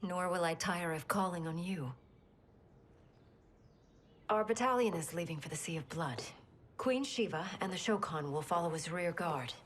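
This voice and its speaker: A woman voicing a poised young warrior princess in a video game, speaking calmly and respectfully. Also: lowish voice, normal speed, pauses often, a little hiss or hum, close to an everyday voice. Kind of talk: video game cutscene acting.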